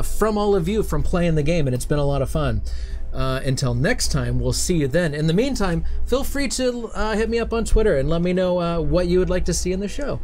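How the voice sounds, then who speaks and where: Higher-pitched man hosting a casual livestream. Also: a little mellow. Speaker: show host.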